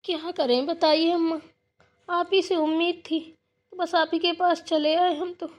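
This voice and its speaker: A woman's voice: very high-pitched, flat delivery, a little slow. A woman voicing a troubled, pleading married daughter in a cartoon family drama, with a plaintive, wordy way of complaining. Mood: worried, pleading.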